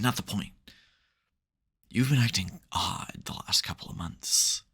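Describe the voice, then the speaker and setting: A man's voice: low voice, sharp tone, husky, heavily acted. A man playing a smug, theatrical supervillain who secretly cares, in a dramatic, wry, chatty way for an ASMR roleplay. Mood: concerned.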